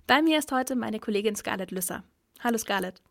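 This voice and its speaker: A woman's voice: fairly high pitch, animated, brisk, gravelly, a bit bright, dry recording. A woman podcast host welcoming listeners and her colleague in a friendly way. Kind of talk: podcast hosting.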